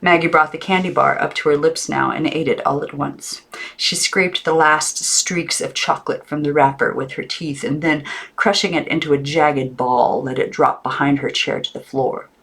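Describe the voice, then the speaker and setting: A woman's voice: deep voice, fairly even intonation, moderate pace, creaky, a bit bright. Woman reading a storybook expressively. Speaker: audiobook reader. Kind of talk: storybook narration, read off the cuff.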